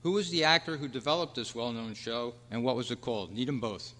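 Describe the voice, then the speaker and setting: Male quiz host, mid-pitched voice, reading questions aloud at a club meeting, heard with long hall reverb. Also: fairly quick, pushed and bright. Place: indoor meeting room at a convention.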